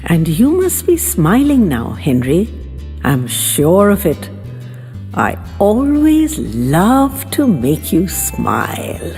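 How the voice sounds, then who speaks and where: A woman narrating a first-person audio story in a wistful, theatrical and deliberate way. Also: on the low side, huge pitch swings, a little mellow. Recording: long reverb, music underneath.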